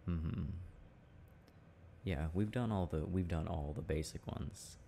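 Deep, slow, gravelly young man, softly intimate. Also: slight background noise. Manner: soft affectionate chat with frequent chuckles. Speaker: boyfriend roleplay audio performer. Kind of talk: intimate casual ASMR boyfriend roleplay.

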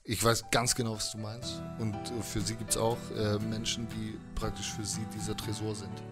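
A man in his thirties talking casually in an interview, reflective and halting, into a handheld microphone held close. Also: on the low side, little pitch movement, loud music behind the voice. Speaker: musician being interviewed. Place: living room with instruments, indoors.

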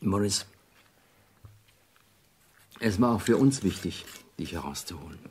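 A Soviet spy handler in a radio play. His voice is fairly low, calm and regretful, and he holds things back. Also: a little slow, slightly rough, full character voice. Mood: grave, regretful. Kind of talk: German radio drama acting.

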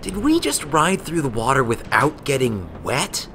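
A man's voice: mid-range voice, huge pitch swings, measured pace, voice pushed up. Guy doing a blunt sassy girl pegasus in a storybook, wry and curt, noisy recording. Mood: baffled. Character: cheeky, blunt, reluctant young female pegasus pony. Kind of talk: storybook character voice.

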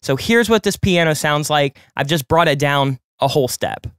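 A man of twenty-eight, a music producer hosting a tutorial, talking quickly and conversationally as he explains each production step from his home studio. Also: on the high side, lively intonation. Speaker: music producer and channel host. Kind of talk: screen-recorded production tutorial. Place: home studio with colored lights.

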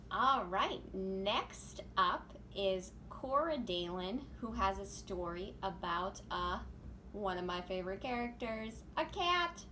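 A woman in her thirties hosts a children's storytime at a slow, measured pace. Her phrasing is simple and instructive, recorded indoors with faint noise. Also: medium pitch, lively intonation, bright, forceful tone. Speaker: children's librarian and storytime host. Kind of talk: storytime presenting for young children. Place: small room at home, indoors. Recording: home video with faint background noise.

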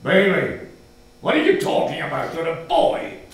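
An older man voicing a stern, gruff storybook father who rebukes a child emphatically and dismissively. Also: fairly high pitch, wildly swooping pitch, normal speed, pitched below the performer's own voice. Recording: echoey hall from far off, some noise.

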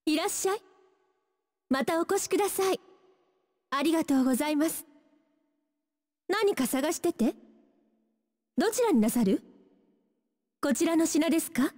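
A woman's voice: high voice, expressive, moderate pace, long pauses, a little mellow, in a large hall. An actress voicing a polite young female shopkeeper in a Japanese video game, giving courteous, neat short greetings. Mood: courteous, inviting.

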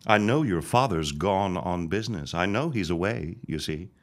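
An actor playing a menacing, self-righteous reverend in a period audio drama, grandiose and taunting. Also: male voice, sits low, animated. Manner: slow, grandiose, preacherly threatening.

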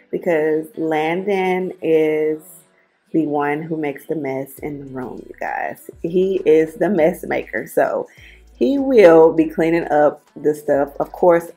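A young woman talks viewers through her decluttering in an easygoing, chatty voice-over. Her voice sits low and even. Soft music plays underneath. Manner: relaxed, chatty narration addressing viewers. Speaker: vlogger. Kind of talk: clean-with-me voice-over. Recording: faint music bed underneath.

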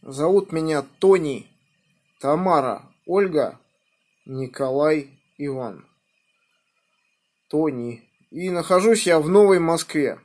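A middle-aged man from the Moscow region talking as an amateur radio operator in a measured, deliberate, encouraging manner. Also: fairly high pitch, lively intonation, darkish tone, slightly rough. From Moscow region, Russia. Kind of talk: ham radio contact, spelling out callsigns phonetically. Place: indoors at a home radio desk by a window. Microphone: phone filming the radio.

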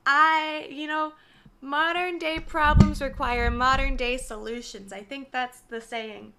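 A young woman in her twenties chatting casually on a gaming live stream, her voice slightly hoarse and worn, talking from a small room into a mic set far from her with the gain turned up. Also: high voice, steady tone, slow pace, noisy background. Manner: chatty, apologetic, greets viewers by name. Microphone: desk mic set far from her, gain turned up.

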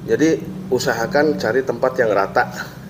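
A man's voice: medium pitch, normal speed, a little hiss or hum. A man in his forties hosting a casual review vlog in an indoor workshop, chatting and explaining things step by step with friendly asides. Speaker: DIY channel host. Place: workshop with tools on the wall, indoors.

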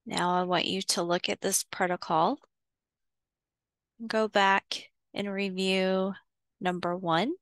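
A woman guides a visualization at a slow, unhurried pace. Her voice is smooth and clear, at a medium pitch. She gives soothing instructions in short phrases with pauses between them. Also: level tone, a bit bright, dry recording. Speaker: guided meditation host. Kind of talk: guided visualization, meditation narration.

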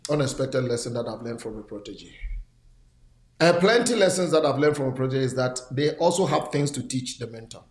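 A man's voice: mid-range voice, lively intonation, a little fast, echoey room. A man in his sixties, a pastor hosting a talk show, who repeats words for emphasis and urges listeners on. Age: 60s. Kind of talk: conversational mentoring talk. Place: studio set, indoors.

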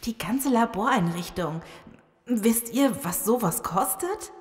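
An actress playing an earnest, serious virologist surviving a zombie apocalypse in an audio drama. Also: female voice, fairly high pitch, expressive, takes it slowly, a little hiss or hum. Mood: uneasy. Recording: long cavernous reverb.

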